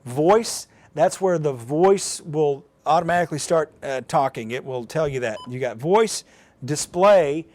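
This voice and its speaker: Guy with kinda higher voice doing a live walkthrough, plain talk, explains each step as he goes. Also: expressive, a little slow, a little mellow. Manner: conversational, explains plainly while demonstrating. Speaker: presenter of a live how-to demonstration. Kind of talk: hands-on step-by-step tutorial, informal and unscripted.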